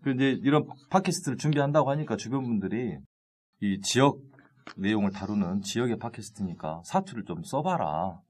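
A man's voice: on the low side, animated, brisk, a little mellow. A man with a Jeolla accent hosting a local podcast, joking and lightly teasing his guest. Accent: Jeolla dialect. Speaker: podcast host, news editor.

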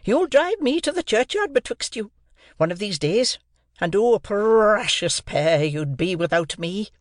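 A man voicing a harsh, bullying older housewife who scolds loudly, in a storybook reading. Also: sits high, sing-song, a little slow, no room sound, studio quality, pitched above the performer's own voice. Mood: scornful. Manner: loud, scolding, stressed words.

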